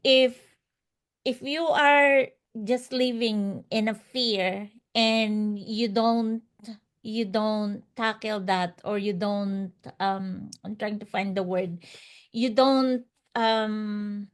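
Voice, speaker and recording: A woman's voice: medium pitch, steady tone, extremely slow, clean tone. A woman who is a non-native English speaker, giving an unscripted motivational testimonial as an interview guest, earnest and emphatic, often repeating her phrases. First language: not English. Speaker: interview guest, online business promoter.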